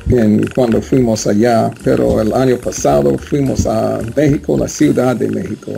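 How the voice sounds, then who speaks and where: A man with an American English accent, a Spanish learner, answering interview questions in a halting way with many fillers. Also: mid-range voice, fairly even intonation, vocal fry, warm tone, a little hiss or hum. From United States. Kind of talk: casual interview chat.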